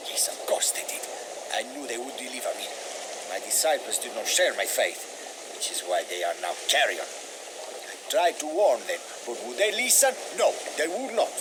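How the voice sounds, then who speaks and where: A man's voice: mid-range voice, normal speed, background noise, lightly acted. Wildly swooping eccentric missionary, acted by a man. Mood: righteous. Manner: grandiose, excitable, sing-song rhetorical questions. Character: eccentric, pious, theatrical missionary man, self-righteous. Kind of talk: video game cutscene acting.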